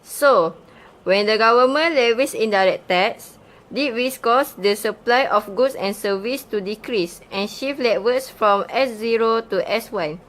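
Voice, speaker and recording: A woman's voice: fairly high pitch, measured pace, a bit bright, rough recording. A woman voicing an agreeable male student puppet in a scripted amateur show. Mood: explaining.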